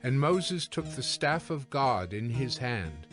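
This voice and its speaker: A man's voice: mid-range voice, very animated. Male scripture narrator over prominent music. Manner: measured, formal storytelling.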